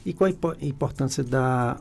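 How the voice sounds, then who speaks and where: A man hosts a radio podcast interview. His voice is mellow and dark, with a gravelly creak, at a middling pitch. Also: moderate pace, soft background music. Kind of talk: interview hosting.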